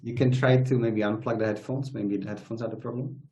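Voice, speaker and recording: A man hosting an online community interview over a video call, asking questions with frequent pauses and restarts. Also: medium pitch, steady tone, a little mellow. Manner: friendly, hesitant, many fillers and restarts. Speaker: community host.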